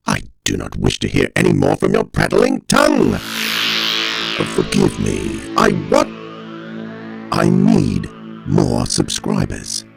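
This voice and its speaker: A man playing an imperious, menacing villain from a sci-fi audio drama parody, with a croaking voice. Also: huge pitch swings, slow, long pauses, music in the background, exaggerated voice. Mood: imperious, demanding. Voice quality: very deep, gravelly, croaking. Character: imperious villainous alien podcaster demanding subscribers, theatrically menacing.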